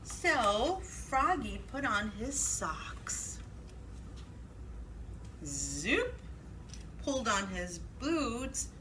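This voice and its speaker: A woman's voice: fairly high pitch, big pitch swings, very slow, long pauses, bright, forceful tone, slight background noise. A woman hosting a children's library storytime, speaking in an exaggerated sing-song way for young children. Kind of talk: children's storytime, read-aloud and singalong.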